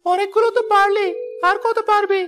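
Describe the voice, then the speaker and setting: A woman's voice: extremely high pitch, fairly even intonation, fairly quick, a bit bright. A woman voicing a hungry, plaintive little village boy in a children's cartoon. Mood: eager. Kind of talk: children's moral-story cartoon dubbing. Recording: faint music behind the voice.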